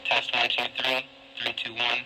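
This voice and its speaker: Very noisy walkie-talkie voice, flat male monotone. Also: mid-range voice, normal speed. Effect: walkie-talkie radio. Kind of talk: radio audio test.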